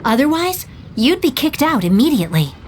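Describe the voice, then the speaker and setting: A man voicing a calm, reflective young wandering samurai who speaks softly and with care in video game dialogue. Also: very high-pitched, heavy background noise. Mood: grave. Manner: soft-spoken, measured, reflective. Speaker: wanderer from abroad. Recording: game audio with music underneath.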